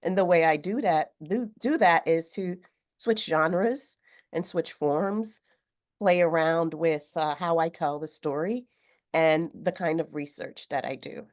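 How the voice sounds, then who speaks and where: A woman author speaking on a video call, a little hoarse from a cold, talking thoughtfully with some fillers. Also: sits low, unhurried. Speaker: author guest. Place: home room with sewing machine. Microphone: video call webcam.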